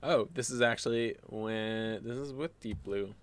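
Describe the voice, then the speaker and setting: A man's voice: fairly low pitch, unhurried, clean tone. Phlegmy man musing aloud at his desk. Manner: rambling, musing aloud with fillers and restarts. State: a little phlegmy. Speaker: graphic designer streaming his work. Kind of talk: casual think-aloud design stream.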